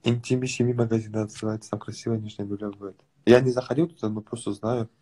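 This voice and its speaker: A man in his twenties with a slight Dagestani accent, chatting casually with callers on a phone livestream from a sofa at home, relaxed and teasing. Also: fairly low pitch, fast. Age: 20s. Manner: relaxed, teasing, mumbles at times. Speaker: livestream host. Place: small room at home, on a sofa, indoors. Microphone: phone front camera held close.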